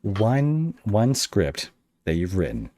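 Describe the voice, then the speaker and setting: A man hosting a podcast interview in a casual, somewhat halting way, feeling out his phrasing as he goes. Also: lowish voice, huge pitch swings, dark tone, no room sound.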